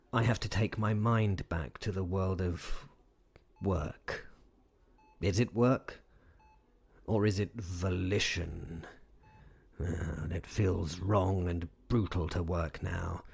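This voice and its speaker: An actor playing a weary, wry, courteous professor, gravelly and musing, in an audio drama. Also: male voice, sits low, darkish tone, full character voice. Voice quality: gravelly, husky. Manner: hesitant, musing, dryly formal.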